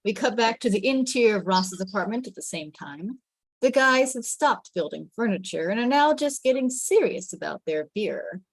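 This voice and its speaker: A woman narrates a script at a table read, reading the stage directions aloud. Her pitch sits in the middle range, and the sound is dry, with very little room in it. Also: lively intonation, moderate pace, husky, dry recording, low-quality recording.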